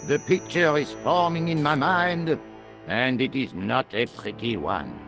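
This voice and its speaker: A man voicing a pompous, world-weary French police inspector in a video game, speaking deliberately and theatrically. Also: medium pitch, music in the background, natural voice. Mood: dismissive, grave.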